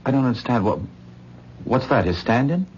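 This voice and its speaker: A man's voice: medium pitch, sing-song, moderate pace, natural voice. A man playing an earnest pleading lover. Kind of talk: 1970s radio drama acting. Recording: dull 1970s radio recording with faint noise.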